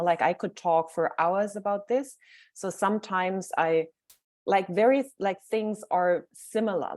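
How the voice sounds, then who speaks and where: A German woman with a clear German accent gives a guest talk on mindset. Her voice sits somewhat low. She speaks informally and thinks aloud, often reaching for the next word. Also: a little mellow. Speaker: mindset coach.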